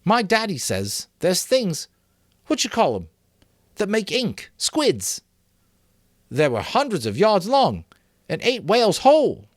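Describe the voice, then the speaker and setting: A man voicing an excitable young boy in a read-aloud story, rambling and correcting himself as he goes. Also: high voice, takes it slowly, long pauses, no echo, studio quality, pitched above the performer's own voice, full character voice. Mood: eager. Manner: rambling, hesitant, self-correcting.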